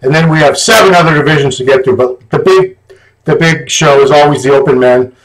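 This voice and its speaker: A man hosting a casual podcast, chatting in a relaxed, joking way. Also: fairly high pitch, fairly quick, faint music behind, rough recording. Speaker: host.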